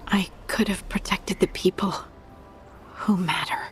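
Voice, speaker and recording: Casting: an actress as a young female game fighter, sincere and unsure of herself, unhurried delivery. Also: medium pitch, steady tone, soft background music, lightly acted. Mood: reflective. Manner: hesitant, earnest. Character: earnest young female fighter unsure of her new magic. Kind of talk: video game cutscene acting.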